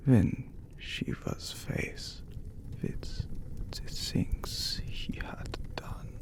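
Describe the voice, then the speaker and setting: A man playing an ancient, courteous, brooding vampire count in an ASMR roleplay, speaking in a breathy, gravelly hush with formal phrasing and long pauses. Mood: somber, haunted. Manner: slow, formal, hushed, with long dramatic pauses. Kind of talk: ASMR romantic roleplay, scripted character acting.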